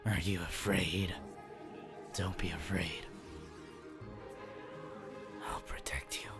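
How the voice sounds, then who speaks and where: A man voicing a creepy, possessive young anime villain in a slow, breathy, rasping whisper for an ASMR roleplay. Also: deep voice, fairly even intonation, loud music behind the voice. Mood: menacing affection. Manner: slow, obsessive cooing.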